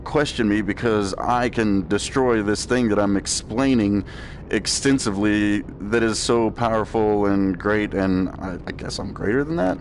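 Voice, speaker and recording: Male casual commentator, lowish voice, tentative and musing, rambling delivery, noisy ambient backdrop. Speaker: gameplay streamer and commentator. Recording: loud vehicle and ambient noise.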